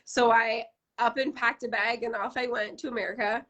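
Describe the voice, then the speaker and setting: A woman's voice: fairly high pitch, level tone, normal speed, fairly bright tone, highs cut off. A woman from Canada in her thirties, a brand founder chatting in an interview over a video call, bubbly and full of "like" fillers. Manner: bubbly, gushing, many "like" fillers. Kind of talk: casual interview chat.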